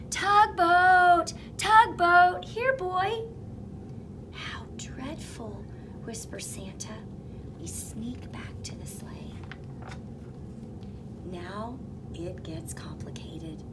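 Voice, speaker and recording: A woman reads a picture book aloud with lively expression, slipping into hushed whispers at tense moments. Her voice sits at an ordinary middle pitch. Clear background noise hangs under the whole recording. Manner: expressive, dropping to whispers for suspense. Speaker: reader. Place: elementary school.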